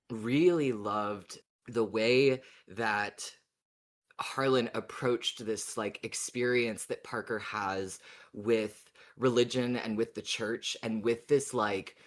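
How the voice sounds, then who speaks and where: A man hosting a podcast in a casual discussion, talking in a thoughtful, halting way with repeated words. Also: medium pitch. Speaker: podcast host.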